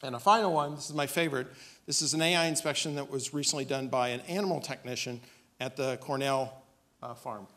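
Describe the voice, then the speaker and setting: Man pitching a startup on stage. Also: a little fast, fairly bright tone. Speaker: startup presenter. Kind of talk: business pitch presentation. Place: on stage in a dim auditorium.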